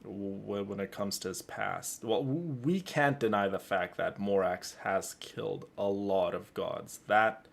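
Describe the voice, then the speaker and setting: A man's voice: on the low side, a little slow, gravelly, hardly any pauses. A man doing casual, rambling and emphatic live commentary as he plays a game, easily amused. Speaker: game streamer.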